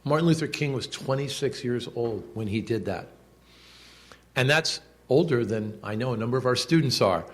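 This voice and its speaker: Man, 60s, school official at a podium; formal, loud, deliberate delivery. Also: mid-range voice, expressive. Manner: measured, reads quotes aloud with emphasis; loud projecting voice. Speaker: school official giving a speech. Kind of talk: formal commemorative speech. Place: indoor event hall.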